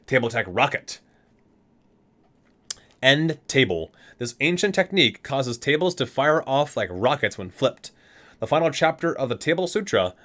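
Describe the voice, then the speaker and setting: A man's voice: medium pitch, fairly bright tone. Expressively lilting man reading aloud for ASMR. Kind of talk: ASMR read-aloud of game item descriptions.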